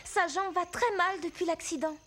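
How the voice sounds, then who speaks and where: Very high-voiced, earnest young princess. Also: female voice, fairly even intonation, moderate pace, sharp tone. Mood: earnest pleading. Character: young native princess, gentle, earnest chief's daughter. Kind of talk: French animated film dub. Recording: faint music under the voice.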